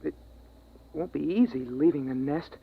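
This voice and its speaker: A man's voice: fairly high pitch, moderate pace, vocal fry, husky. A hesitant young boy. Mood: wistful. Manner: hesitant, stammering. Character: young boy, nervous and wondering. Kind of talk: 1950s radio drama. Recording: dull old radio transcription.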